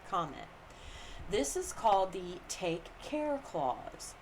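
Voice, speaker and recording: Woman reading aloud, noisy recording. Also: mid-range voice. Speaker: reader of a book on the US Constitution. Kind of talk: reading aloud a scripted legal and historical commentary. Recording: noticeable background noise.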